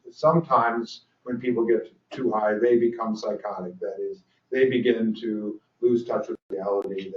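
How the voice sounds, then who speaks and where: An older man lectures at a training session, talking loosely with many fillers and dry asides. He is heard from some distance across a reverberant room. The recording is dull and muffled. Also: medium pitch. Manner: dry humor, frequent fillers and restarts. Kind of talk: conference lecture.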